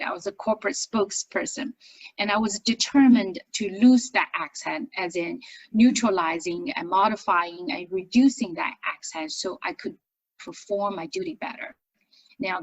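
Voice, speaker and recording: Husky woman with a Chinese accent. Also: mid-range voice, no room sound, low-quality recording. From China. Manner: lively, animated storytelling with frequent restarts. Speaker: business founder and accent coach. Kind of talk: interview storytelling.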